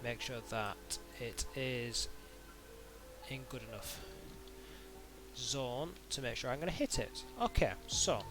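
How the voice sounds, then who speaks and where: A man narrating an informal software tutorial in a hesitant, halting way, as if thinking aloud. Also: medium pitch, lively intonation, over music. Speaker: tutorial instructor.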